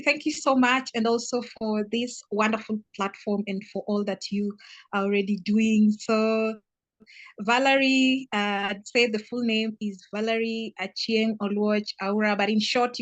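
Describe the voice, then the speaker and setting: A young adult woman from Kenya with a Kenyan accent, a guest telling her life story with many pauses and restarts. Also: mid-range voice, no echo. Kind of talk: casual interview answers.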